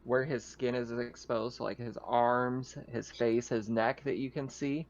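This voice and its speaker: A man acts as game master for a horror roleplaying game, speaking in a conversational, descriptive way. His voice sits at a middling pitch. The sound is slightly dull and lo-fi, as over an internet voice chat. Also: level tone, a little slow. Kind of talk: narrating an online tabletop horror game in casual talk. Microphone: online voice call.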